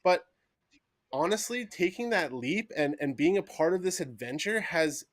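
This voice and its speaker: A man with a somewhat high voice gives a casual motivational testimony, loose and filler-heavy, with "like" at every turn. The sound is dry and close, with no room echo. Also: animated, moderate pace. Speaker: team member sharing his story. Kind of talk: motivational testimony on a live stream.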